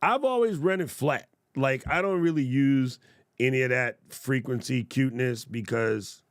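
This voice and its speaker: A man hosting a casual livestream, demonstrating gear in a playful, jokey way and chatting with viewers in a naturally loud voice, close on a studio mic. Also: mid-range voice, dry recording. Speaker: tech reviewer livestream host. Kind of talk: casual livestream product demo. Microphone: studio broadcast mic up close.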